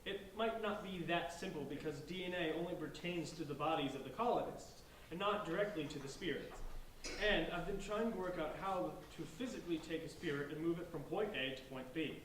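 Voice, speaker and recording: A man's voice: fairly high pitch, fairly quick, fairly bright tone, lightly acted. A man playing an earnest, brainy boy in an amateur staged reading, explaining himself hesitantly. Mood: thoughtful. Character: earnest brainy boy planning to free trapped spirits. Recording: roomy, distant mic, faint noise.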